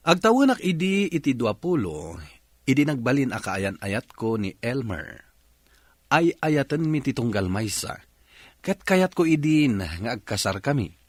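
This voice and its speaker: A man's voice: mid-range voice, no room sound. A man with an Ilocano accent giving scripted narration as the narrator of a radio drama. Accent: Filipino Ilocano.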